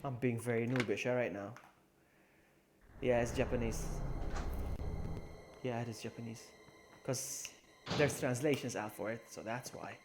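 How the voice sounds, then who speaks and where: A man's voice: medium pitch, fairly even intonation, a little slow, long pauses, noisy background. A young man streaming a video game, chatting casually with viewers, laughing often and muttering to himself as he plays. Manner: chatty, laughs often, reads and answers chat, mutters to himself while playing. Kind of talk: casual live-stream gameplay commentary. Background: game music.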